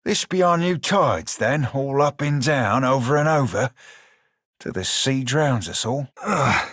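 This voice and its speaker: Husky rogue for a video game, sardonic sailor drawl, rough edges, real dry close recording. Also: male voice, medium pitch, lively intonation, unhurried, a bit bright, no room sound. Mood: wary, incredulous. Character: roguish blue shark-kin pirate, salty, sardonic, foul-mouthed. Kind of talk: video game character dialogue.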